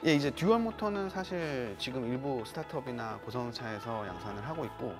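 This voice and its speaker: A man's voice: mid-range voice, expressive, brisk, over music. A man working as an engineer, giving polite, formal, technical explanations in an interview. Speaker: car development engineer.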